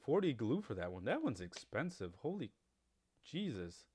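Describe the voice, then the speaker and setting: A man's voice: medium pitch, sing-song, moderate pace, mellow, husky. A man whose first language is Spanish, talking casually over a mobile game, rambling with many fillers as he compares weapon upgrades. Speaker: gaming YouTuber.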